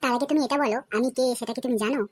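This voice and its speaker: Indignant cartoon daughter played by a woman, West Bengal accent, defiant and sharp-tongued, talking very fast over music. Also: high-pitched, fairly even intonation, natural voice. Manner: scolding, defiant. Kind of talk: comedy cartoon dialogue. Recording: music behind the voice.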